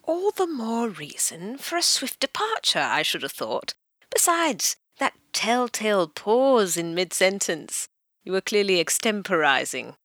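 Haughty, bright-voiced English society lady. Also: mid-range voice, sing-song, measured pace, no echo, clean studio sound. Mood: icy, haughty. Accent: upper-class English. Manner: clipped, condescending, formal. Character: haughty, cutting 1930s English society woman. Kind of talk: full-cast audio drama acting.

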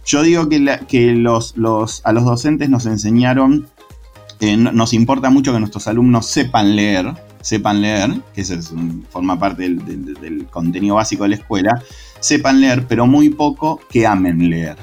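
A man with an Argentine accent, a secondary school literature teacher, talking in a relaxed interview in a reflective, passionate way with frequent restarts. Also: fairly low pitch, normal speed, faint music behind. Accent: Argentine Spanish.

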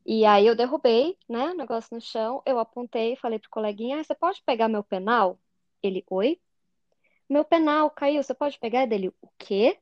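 A woman's voice: a little high, normal speed, no room sound, muffled recording. A woman from Curitiba with a Curitiba Brazilian Portuguese accent, a podcast co-host in casual conversation who acts out remembered dialogue as she tells stories.

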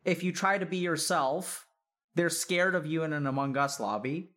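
A man's voice: on the high side, normal speed, fairly bright tone. A man in a conversational counseling interview, reflective and probing, building his points step by step and checking in with questions.

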